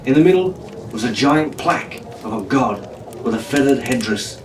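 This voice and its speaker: A man's voice: medium pitch, lively intonation, normal speed. A man reading a pirate adventure story aloud as a dramatic storyteller. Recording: echoey room, distant mic, faint noise.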